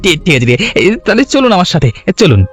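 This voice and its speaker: A man voicing an excitable, gullible village husband in a cartoon, often flustered and stammering. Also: high-pitched, fairly quick, soft background music. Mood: hopeful, stammering. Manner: stammering, flustered, repeating filler words. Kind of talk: Bengali cartoon story voice acting.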